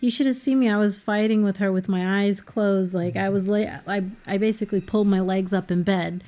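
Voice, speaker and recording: A woman's voice: medium pitch, a little fast. Young woman, mid-range voice, chatty co-host who laughs easily and fills with "like". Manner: animated storyteller, laughs often, says "like" a lot. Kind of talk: casual film commentary chat.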